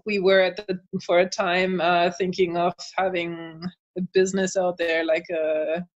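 A woman's voice: lowish voice, flat delivery, measured pace, highs cut off. A woman from Germany with a German accent, chatting as a guest in an interview, thoughtful with frequent fillers and laughs. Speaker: author and translator guest. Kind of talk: casual interview chat.

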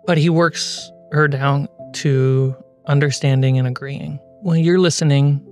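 A man narrating a tabletop fantasy game as game master, in a measured, suspenseful storytelling manner. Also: on the high side, steady tone, soft background music.

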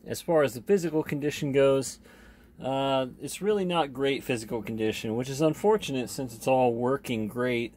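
A man giving a casual, unscripted product demonstration as an online seller, plainspoken and a bit halting. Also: medium pitch, darkish tone.

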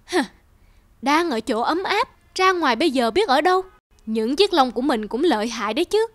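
A woman voicing a pushy, ungrateful female hedgehog in an animated fairy tale. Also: high voice, moderate pace, no echo. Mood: brazen. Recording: music under the voice.

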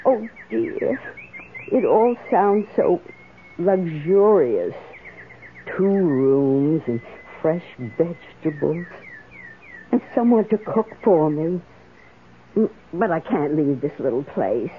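Wistful lonely woman, hesitant, slow swooping delivery, old radio drama. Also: low voice, expressive. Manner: hesitant, emotive, drawn-out. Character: wistful, lonely woman, devoted secret lover. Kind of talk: 1970s radio drama acting. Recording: tinny, hissy old radio broadcast.

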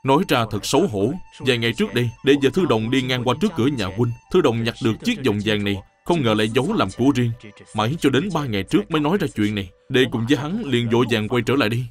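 A man plays an upright young scholar in a historical cartoon. He talks quickly in a formal, humble and earnest way, over faint music. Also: mid-range voice, lively intonation, natural voice. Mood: apologetic. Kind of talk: animated historical cartoon dubbing.

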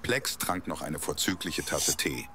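A man narrating a scripted audio drama in a measured storybook delivery. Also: very deep voice, animated, sharp tone, a little hiss or hum.

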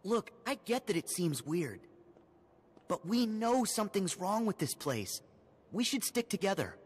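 A sincere teenage boy hero in an animated game. He sounds eager and lively, a little husky, with a long reverb on his lines. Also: sits high, a little slow, exaggerated voice. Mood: earnest pleading. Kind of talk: animated video game dialogue.

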